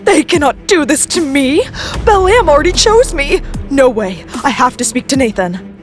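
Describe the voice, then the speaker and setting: A jealous young woman voiced by an actress, high-pitched and swinging widely as she makes indignant demands. It is melodramatic audio-series acting over faint music. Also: very animated, normal speed. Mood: outraged. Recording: music bed under the voice.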